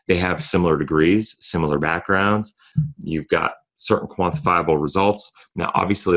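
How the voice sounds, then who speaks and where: A man hosting a solo podcast, talking in an earnest coaching tone with frequent restarts, hoarse from a cold. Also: low voice, a bit bright. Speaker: podcast host.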